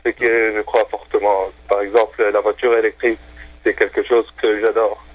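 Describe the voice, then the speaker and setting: A man's voice: on the low side, level tone, moderate pace, no room sound. Man on a tinny phone line. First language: Arabic. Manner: enthusiastic, hesitant with many fillers. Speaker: phone-in guest. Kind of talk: casual radio phone chat.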